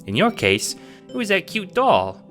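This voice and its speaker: A man voicing an eager, nervous, childlike cat sprite in an audio drama, hesitant and excitable. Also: sits high, unhurried, over music, voice pushed up, exaggerated voice. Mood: eager, proud.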